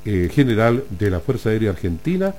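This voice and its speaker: A man with a Chilean accent hosting a radio show, talking casually through the news and often adding quick tag questions. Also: fairly low pitch, big pitch swings, dark tone, a little hiss or hum. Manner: chatty, keeps adding tag questions like "ah?" and "no es cierto?". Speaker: radio host.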